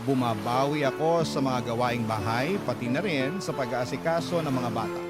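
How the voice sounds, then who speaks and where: A man's voice: medium pitch, close to an everyday voice. A man acting a devoted single parent who narrates a life story in a radio drama, earnest and confiding. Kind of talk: dramatized first-person scripted narration.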